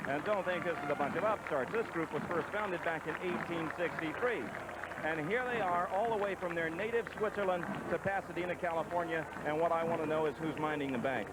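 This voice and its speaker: Male parade commentator, higher voice, playful asides, noisy outdoor broadcast. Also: a little fast. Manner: jokey, folksy asides.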